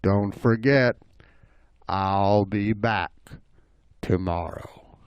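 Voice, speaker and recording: A man voicing a big, hungry bobcat in a children's story, with a drawn-out, menacing delivery. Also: on the low side, clear, resonant tone, dry recording, voice pushed down.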